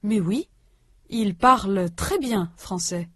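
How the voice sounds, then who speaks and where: A woman reading a language course aloud, with slow, careful and precise enunciation. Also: medium pitch, husky, no echo, studio quality. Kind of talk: scripted instructional reading.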